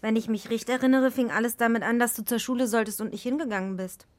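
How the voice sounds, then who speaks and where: A woman plays a forest fairy in a children's radio play. She has a fairly high voice and a blunt, bossy, matter-of-fact manner with a touch of theatre. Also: steady tone, fast talker, close to an everyday voice. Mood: dry, stern. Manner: dry, matter-of-fact, theatrical when casting spells.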